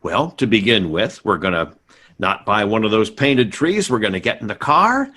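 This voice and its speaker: A man's voice: on the low side, very animated, normal speed, bright, forceful tone, full character voice. An actor playing a stubborn, idealistic middle-aged American father in a 1950s-style radio sitcom, emphatic and given to stammering. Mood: firm, declaring. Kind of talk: 1950s radio sitcom acting, performed by players.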